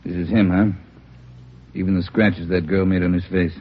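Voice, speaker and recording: An actor playing a senior police officer who gives curt, cautious orders. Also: male voice, low voice, level tone, moderate pace, slightly rough. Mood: curt, steady. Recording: dull lo-fi vintage radio with loud noise.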